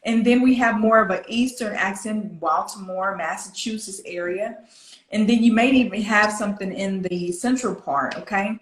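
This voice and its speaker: A woman's voice: medium pitch, moderate pace, echoey room, low-quality recording. A woman with an American Southern accent coaching one-to-one, explaining things directly and checking in with questions. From southern United States. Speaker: English pronunciation coach.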